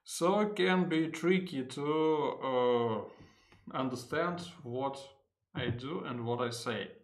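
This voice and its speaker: A man with a Russian accent and Russian as his first language, giving an unedited software tutorial in English, halting often with fillers and correcting himself. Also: medium pitch, lively intonation. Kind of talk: unedited screen-recorded tutorial.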